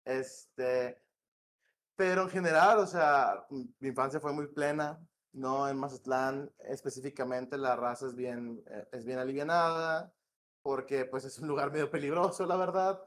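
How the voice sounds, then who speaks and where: A man from northwest Mexico with a northern Mexican accent, a game director talking as a podcast guest in a relaxed, slangy, rambling way. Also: medium pitch, measured pace, slight background noise. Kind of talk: casual podcast interview. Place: home in Morelia.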